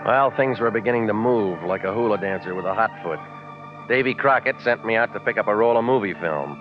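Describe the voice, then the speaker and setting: Man playing a low-voiced, deadpan hard-boiled radio detective. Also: a little fast, natural voice. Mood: wry narrating. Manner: terse, deadpan, sardonic. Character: wisecracking, world-weary hard-boiled male private investigator. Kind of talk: 1940s radio detective drama narration and dialogue. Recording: dull 1940s radio recording, loud music.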